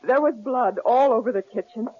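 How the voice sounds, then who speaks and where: An actress playing a frightened young wife in an old radio drama, talking in a breathless, pleading, tremulous way. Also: female voice, a little high, fairly quick, slightly rough. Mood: anxious. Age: young adult. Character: frightened young wife trapped in a snowbound cabin. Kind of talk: 1950s-70s radio drama acting. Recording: tinny, lo-fi old radio recording with faint hiss.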